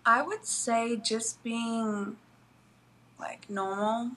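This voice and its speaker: Australian woman in her twenties, college athlete guest, bright tone, soft and hesitant delivery. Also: a little high, fairly even intonation, drawn-out words. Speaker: college basketball player, guest. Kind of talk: interview answers.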